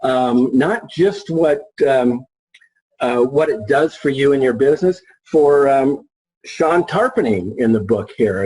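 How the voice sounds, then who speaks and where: This is a man with a medium-pitched, rather rough and husky voice. He talks without a script, explaining as a marketing expert on a panel and working through examples. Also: a little mellow, rough recording. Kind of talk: unscripted explanatory conversation.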